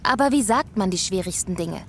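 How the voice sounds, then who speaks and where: A woman's voice: medium pitch, lively intonation, brisk, slight background noise, natural voice. A woman playing a young heroine who narrates her space adventure in a reflective, wistful, scripted first person. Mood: tender.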